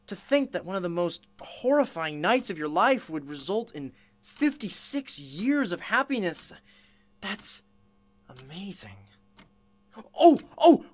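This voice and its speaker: A woman playing an enthusiastic, sympathetic radio interviewer in an audio drama, effusive and earnest in manner. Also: mid-range voice. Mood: amazed. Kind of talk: radio play acting.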